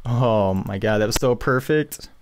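A man's voice: fairly low pitch, unhurried, a little hiss or hum. A young man giving a casual, informal music production tutorial as a screencast voice-over.

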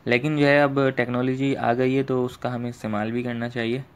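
A man's voice: mid-range voice, little pitch movement, fairly quick, clear, resonant tone. A man giving an informal screen tutorial, explaining step by step with repeated filler phrases. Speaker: fishing tutorial host.

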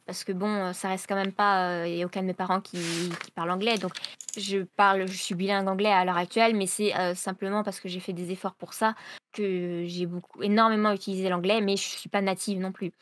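A young woman explains her writing routine in an interview, hesitant and full of fillers as she searches for words. She talks from a room at home into a desk mic on a video call, at an ordinary middle pitch. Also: level tone, brisk, a little hiss or hum. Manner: hesitant, many fillers and restarts. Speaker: writer being interviewed. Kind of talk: casual explanatory interview answers.

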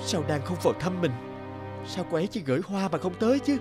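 A man voicing an earnest, expressive young office worker in a cartoon, with music underneath. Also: high voice, fast. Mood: distressed, pleading. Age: young adult. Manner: expressive, dramatic. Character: earnest young office manager, groom-to-be, easily distressed. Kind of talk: cartoon dubbing.

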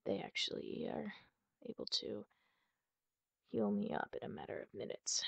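A woman playing a wounded, guarded and prickly masked superheroine in an ASMR roleplay, half-whispering in a breathy, husky voice with halting, pained sighs. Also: mid-range voice, big pitch swings, a bit bright, no echo, exaggerated voice. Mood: guarded, calm. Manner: halting, sighing, pained delivery.

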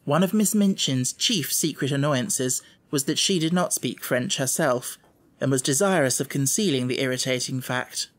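A man reading a children's story as scripted audiobook narration. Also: medium pitch. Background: music.

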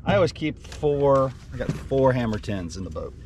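A middle-aged man, a fishing guide, talking casually from his boat on a lake into a headset mic, relaxed and rambling with lots of ums. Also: medium pitch, moderate pace, background noise. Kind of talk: casual fishing vlog chat.

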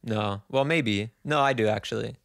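A man in his twenties co-hosting a casual podcast, teasing in a deadpan way and repeating jokes. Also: mid-range voice, normal speed, gravelly, dry recording, studio quality. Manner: deadpan, teasing, repetitive joking. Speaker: podcast co-host. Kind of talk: casual chat. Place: small apartment room, indoors. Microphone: handheld dynamic mic, close.

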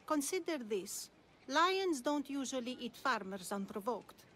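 A woman's voice: high-pitched, sing-song, unhurried, fairly bright tone, exaggerated voice. Enigmatic older lady. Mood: testing, composed. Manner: composed, cryptic. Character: enigmatic older ancient Greek woman, shrewd and testing.

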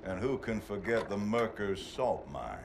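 A composed, authoritative wartime commander in a video game cutscene. The low voice moves expressively through a formal, deliberate briefing, with music behind it. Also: male voice, very animated. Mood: firm, formal.

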